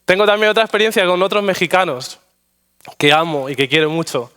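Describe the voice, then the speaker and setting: A man with a Madrid Spanish accent gives a church sermon, his voice crisp and smooth and pitched a touch high. He mixes personal stories and jokes at his own expense into the preaching. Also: animated, fairly quick. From Spain. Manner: warm storytelling, self-deprecating humor. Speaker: guest preacher. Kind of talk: anecdotal sermon with prayer. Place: church sanctuary.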